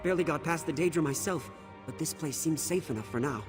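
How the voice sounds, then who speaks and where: A man's voice: fairly high pitch, fast, over music, natural voice. A man playing an anxious, flustered scholarly archivist in a video game, explaining things earnestly. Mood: relieved, earnest. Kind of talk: video game character dialogue.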